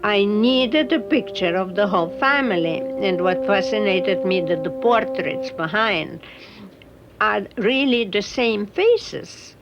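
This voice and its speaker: A woman photojournalist reflecting in a documentary interview, with halting, non-native phrasing. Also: mid-range voice, dull audio, loud music behind the voice.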